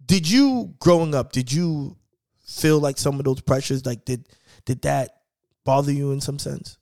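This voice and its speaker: A man in his thirties from a Haitian-American family, hosting a casual podcast chat, animated and laughing often. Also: mid-range voice, a little slow, gravelly. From United States, Haitian family. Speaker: podcast host.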